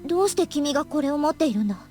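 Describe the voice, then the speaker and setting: A woman's voice: high voice, lively intonation, rapid-fire. A wistful, boyish-spoken young woman over music. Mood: surprised, questioning. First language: Japanese. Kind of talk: Japanese visual novel voice acting.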